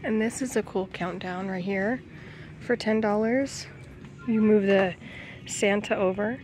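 A woman's voice: medium pitch, darkish tone, faint music behind. A woman in her thirties giving casual item-by-item vlog commentary while shopping, filmed on a handheld camera. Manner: unhurried, item-by-item commentary with pauses. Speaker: vlogger. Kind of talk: casual shop-with-me vlog narration. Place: retail store aisles, some in a parked car. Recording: clear background store noise and ambience.

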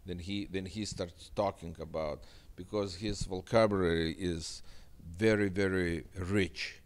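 Deep-voiced man. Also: fairly even intonation, measured pace, smooth. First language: Russian. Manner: earnest, emphatic, repeats phrases. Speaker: boxing manager. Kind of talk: sit-down interview. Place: indoor studio set with sofa. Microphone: handheld mic.

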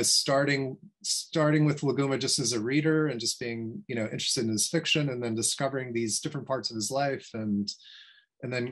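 A man in his fifties giving an informal academic talk, speaking in a reflective, halting way with many pauses. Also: medium pitch. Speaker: academic researcher, guest speaker. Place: small home study with bookshelves, video call. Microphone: computer mic on video call.

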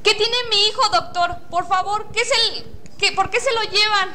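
A woman's voice: extremely high pitch, steady tone, moderate pace, sharp tone. A woman playing an anxious, indignant, overprotective mother in an agitated, theatrical student radio drama. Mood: anxious, pleading. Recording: faint noise, amateur classroom radio recording.

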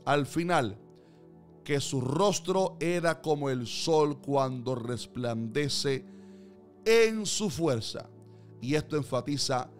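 A man preaching a Bible sermon in an earnest, declamatory way, building emphasis through repeated phrases. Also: medium pitch.

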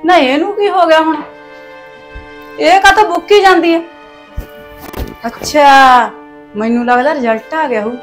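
A woman's voice: sits high, a little slow, pauses often, soft background music. A woman playing a harsh, taunting mother-in-law who scolds with sarcasm and folk sayings. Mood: muttering. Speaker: actor in a family skit. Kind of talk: domestic comedy-drama skit.

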